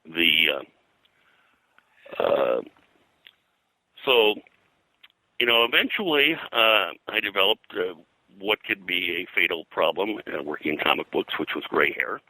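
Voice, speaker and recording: Very deep-voiced older American man, bright edge. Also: very animated, measured pace, long pauses, no echo, poor audio. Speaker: guest, comics colorist and publisher. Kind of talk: reminiscing interview talk.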